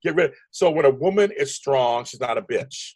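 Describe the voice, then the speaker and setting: Brief: man, 50s, deep and husky, rapid emphatic interview guest, dry close sound. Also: animated, darkish tone, dry recording. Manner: rambling, restarts sentences, emphatic. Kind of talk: animated conversational interview.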